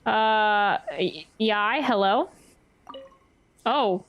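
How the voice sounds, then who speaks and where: A woman's voice: mid-range voice, very slow, highs cut off, soft background music. A woman streaming a game, reacting in casual, chatty, exclamatory commentary.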